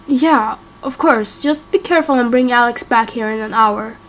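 A woman voicing a mild, exasperated father in a game roleplay, subdued and gently scolding. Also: on the high side, normal speed, natural voice. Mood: weary, caring. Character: mild, exasperated father fussing over his child. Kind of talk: amateur game roleplay acting.

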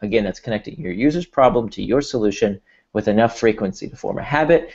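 A man's voice: lowish voice, fast talker, vocal fry, darkish tone. American-accented man presenting an online workshop. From Israel. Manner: explanatory, repeats words when restarting. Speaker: workshop speaker. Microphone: video call. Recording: dull lo-fi video call audio.

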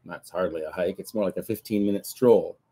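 A man's voice: low voice, fairly quick, dark tone, slightly rough. A man voicing a patient, kindly old farmer in a storybook reading. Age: older. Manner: patient, a little fussy.

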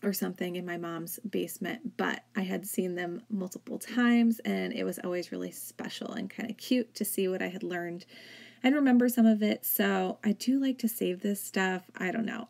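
A woman's voice: medium pitch, gravelly, a little mellow. A woman in her thirties, a home vlogger, narrating casually over her cleaning footage, chatty and full of "like". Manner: chatty, filler-heavy with frequent "like". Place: home, indoors.

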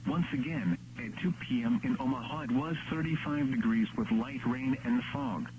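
A synthetic male announcer reading scripted weather bulletins in a measured, impersonal text-to-speech delivery. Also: mid-range voice, a little mellow. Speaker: automated weather radio announcer. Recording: noisy radio broadcast with hum.

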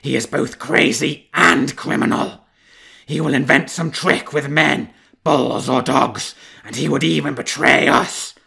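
A man voicing a peevish, jealous male wolf courtier who growls his words slowly and with strain. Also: medium pitch, steady tone, creaky, pushed and bright, breathy edge, full character voice. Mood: peevish, warning.

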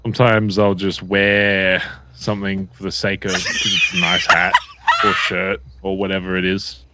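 A man's voice: low-pitched, level tone, moderate pace, heavy background noise. A man improvising an in-character phone chat in a role-play game, rambling and hesitant, with some swearing. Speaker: gamer playing a biker role-play character.

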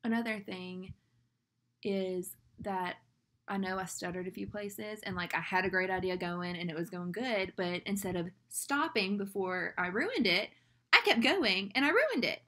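A woman's voice: medium pitch, moderate pace, pushed and bright. A young woman with a Southern US accent talks casually and ramblingly to her laptop camera from a small room. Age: young adult. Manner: chatty, rambling, fillers like "like". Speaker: vlogger.

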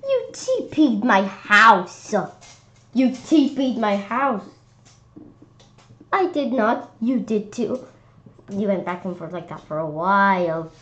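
Slow, halting woman reading aloud. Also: mid-range voice. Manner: slow, halting read-aloud with restarts and lively character voices. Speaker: children's book reader. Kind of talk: reading a picture book aloud. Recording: slightly dull lo-fi sound.

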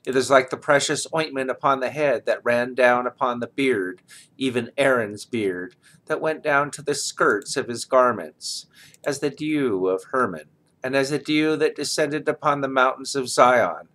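A man in his sixties reads Bible verses aloud in a solemn style, with measured, declamatory phrasing, indoors near the mic. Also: mid-range voice, a bit bright. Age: 60s. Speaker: scripture reader. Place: small indoor room, office chair.

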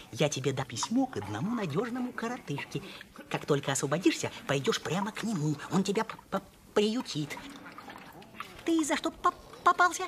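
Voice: medium pitch, background noise, close to an everyday voice. A performer voicing a scrawny, sly male conman in a cartoon, stuttering and conspiratorial. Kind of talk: animated film acting.

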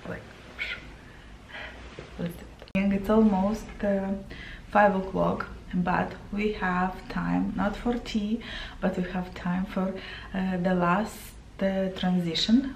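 A woman's voice: mid-range voice, steady tone, takes it slowly, creaky, a little hiss or hum. Chatty Romanian-accented woman, echoey room. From Moldova. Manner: chatty, searching for words, with fillers. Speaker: face painting vlogger. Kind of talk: casual talk to camera while painting. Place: small room indoors.

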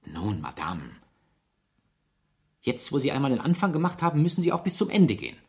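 An actor playing a persistent police inspector in a German radio drama, probing and insistent. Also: male voice, medium pitch, wildly swooping pitch, fairly quick. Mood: insistent, pressing. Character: persistent male French police inspector questioning witnesses.

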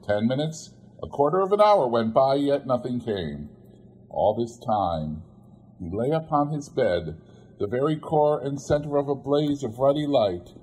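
A man narrates a literary story at a slow, unhurried pace. His voice is husky and sits at a middling pitch. He reads expressively and theatrically, leaving deliberate pauses between phrases. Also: a little mellow, low-quality recording. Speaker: audiobook reader.

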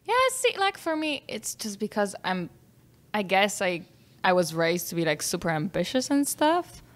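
A woman's voice: medium pitch, big pitch swings, normal speed. A woman in her thirties from Poland with a Polish accent, a guest on a podcast, chatting casually, laughing often and acting out quoted voices. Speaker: guest actor. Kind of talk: casual interview chat. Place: small home podcast studio, indoors. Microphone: boom mic, headphones.

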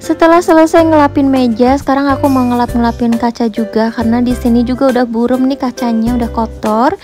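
A woman in her thirties talks viewers through her housework in a friendly, chatty voice-over, often turning to them directly. Her voice sits a little high for a woman. Steady background music plays under her words. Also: fast. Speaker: housewife vlogger.

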